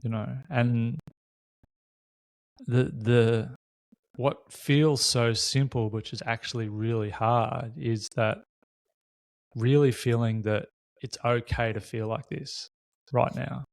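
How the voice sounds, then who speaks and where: A man's voice: medium pitch, steady tone, takes it slowly, gravelly, no room sound. A man hosts a reflective, unscripted conversation, speaking candidly and casually in short, considered bursts. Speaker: podcast host.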